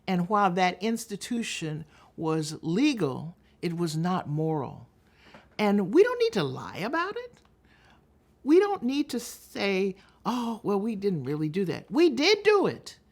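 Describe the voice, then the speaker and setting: Deliberate, emphatic older American woman. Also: mid-range voice, wildly swooping pitch. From United States. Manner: deliberate, emphatic, oratorical, with weighted pauses. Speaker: public speaker, veteran delegate. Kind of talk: impassioned public talk.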